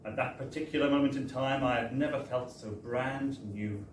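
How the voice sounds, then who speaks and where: A man's voice: mid-range voice, fairly even intonation, moderate pace. A British man reading a wry first-person comic story aloud at a live event, in a chatty, theatrical storytelling manner. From Britain. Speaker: reader at a book launch. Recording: echoey live bar, distant mic, faint noise.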